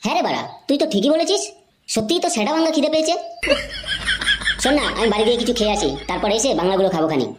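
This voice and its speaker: A woman's voice: on the high side, animated, rapid-fire, lightly acted. A woman voicing a brash, cocky young male drunkard in a comedy cartoon, blustering and whining. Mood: eager. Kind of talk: Bengali comedy cartoon dubbing. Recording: echoey, clear background noise.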